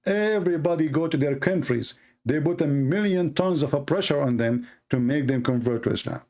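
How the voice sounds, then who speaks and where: A man's voice: a little high, moderate pace, dark tone. A man with a somewhat raised voice hosts a live call-in debate and argues hard. He mocks his opponents with heavy sarcasm. His English is fluent, with non-native grammar. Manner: combative, mocking, sarcastic. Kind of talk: heated live-stream argument.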